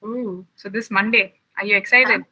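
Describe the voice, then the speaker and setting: Bright-voiced woman instructor. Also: mid-range voice, steady tone, low-quality recording. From Chennai, India. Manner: chatty, asks the children many quick questions. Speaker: science camp instructor. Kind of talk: casual lab tour and chat with children over a video call.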